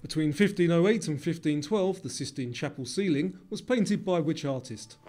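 A man reading scripted quiz questions and answers in a measured way, as the narrator of a trivia video. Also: a little high, darkish tone.

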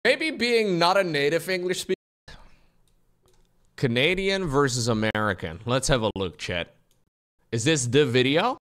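A young man live-streaming from his room, talking to chat with a bouncy, animated delivery. He jokes around and reacts freely, his pitch sweeping up and down as he goes. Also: measured pace, long pauses. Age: young adult. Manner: lively, joking, reads chat aloud. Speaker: streamer editing a video. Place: small room at home, indoors. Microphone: desk mic, wearing headphones, webcam framing.

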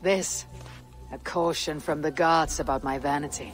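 A woman's voice: deep voice, fairly even intonation, normal speed, soft background music, natural voice. A woman playing a stern, steadfast Viking warrior in a video game cutscene. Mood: solemn, reflective. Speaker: game character actor.

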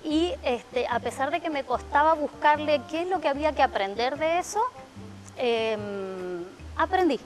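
A middle-aged woman speaks in a high voice, reflective and storytelling, pausing on fillers. She sits on a studio sofa with a lapel mic, with faint music underneath. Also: lively intonation. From Argentina (Mendoza). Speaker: guest, salon owner. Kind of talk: television interview. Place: TV studio living-room set.

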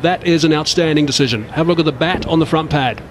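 Man commentating live cricket on TV. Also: fairly high pitch. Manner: measured, rises sharply on big moments. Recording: broadcast commentary over noisy crowd ambience.

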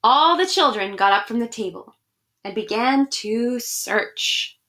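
A woman in her thirties with a slightly high voice speaks to children as in a storytime at home. She addresses her young listeners directly and reads with expression. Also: lively intonation, unhurried, sharp tone. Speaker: education director reading picture books online to children. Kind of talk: children's storybook read-aloud and friendly chat to viewers. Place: small room at home, indoors.

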